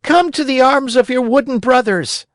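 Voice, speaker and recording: A man voicing an excitable male wooden puppet who shouts wildly in a shrill way, in a storybook reading. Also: moderate pace, dry recording, clean studio sound. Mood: wild excitement.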